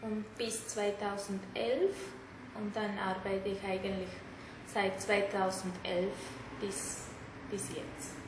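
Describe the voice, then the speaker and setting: A woman's voice: medium pitch, little pitch movement, slow. A middle-aged woman with a Sri Lankan accent, a native Tamil speaker, giving hesitant interview answers in simple phrasing. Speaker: interviewee, therapist. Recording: echoey room, clear background noise.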